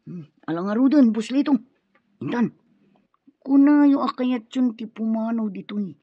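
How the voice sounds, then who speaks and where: A woman's voice: fairly high pitch, lively intonation, a little slow, breathy edge, no room sound, exaggerated voice. A woman with an Ilocano accent playing a nervous, hesitant, deferential underling of a crime boss in a radio drama. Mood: flustered, deferential. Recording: dull, muffled dry studio.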